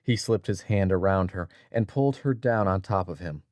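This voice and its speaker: A man's voice: sits low, normal speed, a little mellow, dry recording, studio quality. A man narrating a dramatic audiobook from a script as a storyteller.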